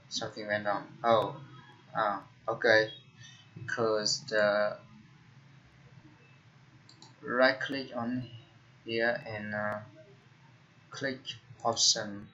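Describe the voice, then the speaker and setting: A young man with a Vietnamese accent, a tech tutorial host talking casually through a screen recording, halting with frequent fillers and restarts. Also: lowish voice, bright, forceful tone. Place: indoor room at a computer. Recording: dull lo-fi computer mic with faint noise.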